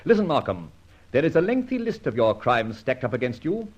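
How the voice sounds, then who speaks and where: A husky, dashing masked vigilante in a vintage radio detective serial. He is sardonic and commanding, with theatrical, widely swooping delivery. The old recording sounds dull. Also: medium pitch, sing-song, fairly quick. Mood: accusing, commanding. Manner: theatrical, clipped, declamatory. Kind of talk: old-time radio drama acting.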